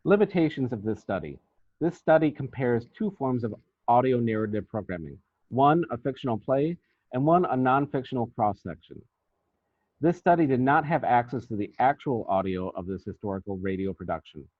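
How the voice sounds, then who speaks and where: A man reading out a scripted academic paper as a researcher, in a formal, measured and deliberate manner. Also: mid-range voice, animated, no echo. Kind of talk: scripted academic presentation, read aloud. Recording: thin, phone-like band-limited sound.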